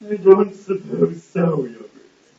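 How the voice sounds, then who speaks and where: A man's voice: on the high side, animated, slow, gravelly, warm tone, heavily acted. A man playing a hesitant, evasive court hanger-on in an amateur puppet-show stage play. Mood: uneasy, evasive. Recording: echoey, muffled, distant lo-fi recording.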